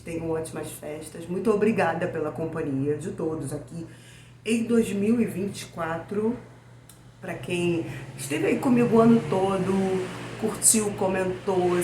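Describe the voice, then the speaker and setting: A Brazilian woman hosting a book vlog. She chats casually with her viewers in a weak, hoarse voice from a cold. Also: mid-range voice, darkish tone. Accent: Brazilian Portuguese. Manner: chatty, addresses viewers as "gente". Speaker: book channel host. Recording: echoey room with faint noise.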